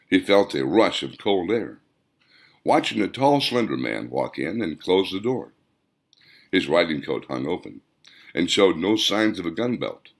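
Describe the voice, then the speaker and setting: A man narrates an audiobook in a deep, low register. The delivery is measured and deliberate, broken into short phrases, with lively intonation that moves widely up and down. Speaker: author narrating their own audiobook.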